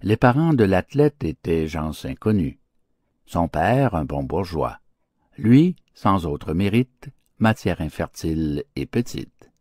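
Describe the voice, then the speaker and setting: A man narrating fables as an audiobook, with declamatory, theatrical phrasing and an expressive literary delivery. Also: low-pitched, slow pace, no echo, clean studio sound.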